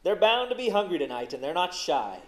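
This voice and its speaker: A man's voice: high voice, sing-song, a little fast, faint music behind, pitched above the performer's own voice. A man voicing an ominous male campmate in a told story, dramatic and emphatic, full of warning.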